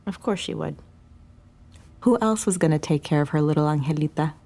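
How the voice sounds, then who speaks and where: An actress playing a dutiful young older sister in an audio drama, with a dry, casual, teasing manner. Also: female voice, deep voice, fairly quick, mellow. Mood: defensive.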